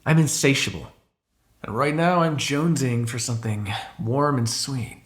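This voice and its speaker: A man performs a cocky, insatiable male vampire in an ASMR roleplay. His voice sits at an average pitch for a man. He talks in a casual, sarcastic way, needling and flirting with an unseen partner and swearing freely. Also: moderate pace, lightly acted. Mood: teasing, flirtatious. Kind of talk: ASMR roleplay acting, one side of a dialogue.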